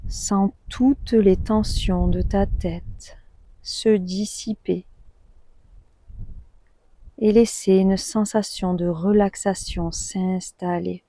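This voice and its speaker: A woman guiding a deep relaxation meditation in a calm, soothing, unhurried manner, leaving long pauses between short phrases. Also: no room sound, rough recording. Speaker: yoga nidra guide.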